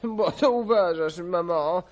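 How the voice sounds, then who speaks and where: An actor playing a dissolute, jealous young count with affected aristocratic manners in a grotesque radio operetta. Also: male voice, high voice, takes it slowly, lo-fi sound, a loud crowd. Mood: smooth, explaining. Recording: 1980 radio play with music behind.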